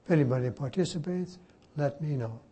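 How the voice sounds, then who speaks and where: A man's voice: a little high, a little fast, warm tone, husky. An older man, a Jesuit priest whose first language was Slovak, teaching a class informally and spelling words out letter by letter. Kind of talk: informal lecture and Q&A.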